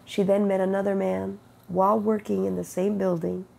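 A middle-aged woman with a cold, her voice deeply gravelly, husky and dark. She speaks slowly and earnestly, sharing faith testimony into a wired earbud mic in a sunlit bedroom. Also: little pitch movement. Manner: earnest, unhurried, quotes God in conversation. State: has a cold, sniffling and rough-voiced. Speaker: faith vlogger. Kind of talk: casual spiritual testimony. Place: bedroom with bright window, indoors. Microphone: wired earbud mic, webcam close-up.

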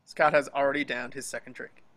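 Bright, forceful man narrating a script. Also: medium pitch, level tone, brisk. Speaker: stage-direction narrator. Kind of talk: scripted narration, dramatic table read. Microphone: video call.

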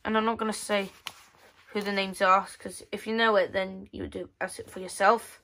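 Bright-voiced casual female magazine commentator. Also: mid-range voice, level tone, normal speed, gravelly. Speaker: host of a magazine flip-through video. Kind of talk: casual commentary, reading page text aloud. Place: indoors at a tabletop.

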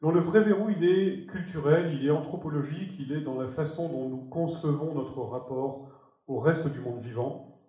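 A man's voice: fairly high pitch, moderate pace, dark tone. A man in his forties with a French accent, giving an earnest, emphatic conference keynote on a large theater stage through a headset mic. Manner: earnest, emphatic, moving around the stage and gesturing. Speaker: keynote speaker. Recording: roomy hall sound.

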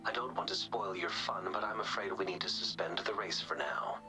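An actor voicing a calm, analytical young male researcher in a video game, speaking in a measured, polite way. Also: low-pitched, natural voice. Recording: game dialogue over music.

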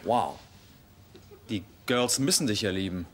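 A man's voice: on the low side, animated, measured pace, slight background noise. An actor playing a cheeky young man who teases and laughs as he talks. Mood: teasing. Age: young adult. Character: cheeky young male neighbour, cocky. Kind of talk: sitcom acting.

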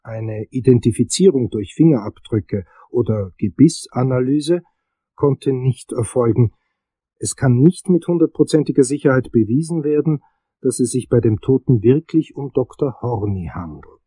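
A husky, understated private investigator. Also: male voice, mid-range voice, lively intonation, moderate pace, dark tone, dry recording. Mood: matter-of-fact. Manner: understated, matter-of-fact. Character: dry, unimpressed male private investigator narrating his case. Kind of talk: radio drama acting and scripted narration.

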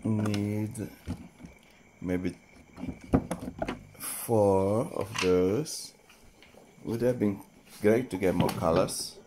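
A man with a fairly low voice talks very slowly and casually to young viewers about his wooden train set. He is recorded on a phone in an indoor playroom, with faint background hiss and toys clicking as he handles them. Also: fairly even intonation. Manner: slow, unhurried, talking to children viewers. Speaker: toy train channel host. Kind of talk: casual toy showcase narration. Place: indoor playroom with shelves and toy train table.

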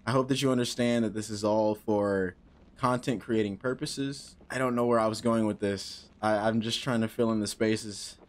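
A man's voice: on the low side, steady tone, normal speed. A young man in his twenties streaming a horror game on a headset mic, joking nervously and talking to himself. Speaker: gaming streamer. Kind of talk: casual horror game commentary.

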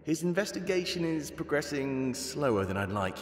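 A man's voice: fairly high pitch, very animated, moderate pace. A man playing a solemn, calculating animal rebel leader in a fantasy video game. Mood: urgent, commanding. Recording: echoey cavernous reverb.

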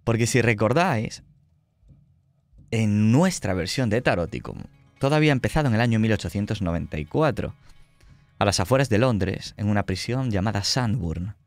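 A man's voice: on the low side, expressive, normal speed, darkish tone, no room sound, soft background music. Dry-recorded Spanish man hosting roleplay. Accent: Spanish from Spain. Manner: teasing, mock-boastful banter. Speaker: host and game master of a tabletop roleplay show. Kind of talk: casual joking introduction of players on a livestream.

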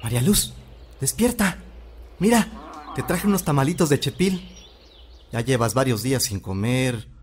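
A man's voice: medium pitch, very animated, normal speed, long pauses, natural voice. A young man with a Mexican accent playing a lovestruck rural suitor in an audio drama. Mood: tender, coaxing.

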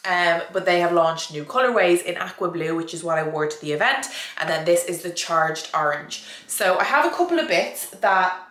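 A woman's voice: on the low side, animated, normal speed, fairly bright tone, roomy sound. A young woman vlogger and fitness coach chatting to camera, nasal and bunged up with a cold, repeating words for emphasis. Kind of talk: casual vlog chat to camera. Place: small indoor room with a door behind.

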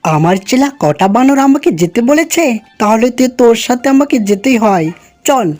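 A woman's voice: on the high side, big pitch swings, normal speed, darkish tone. A woman voicing a wicked, cowardly fake holy man, a tantric sorcerer in a cartoon fairy tale. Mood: puzzled, alarmed. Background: music.

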